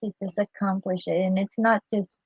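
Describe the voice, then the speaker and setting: A woman's voice: medium pitch, steady tone, moderate pace, husky, dry recording, muffled recording. A woman who grew up in Vietnam, a guest artist chatting casually on a livestream, giggly and hesitant with fillers.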